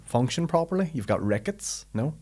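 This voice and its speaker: A man's voice: fairly high pitch, sing-song, normal speed, mellow. Jokey thirty-something male podcast host. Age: 30s. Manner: loose, jokey banter, swears freely. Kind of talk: casual chat.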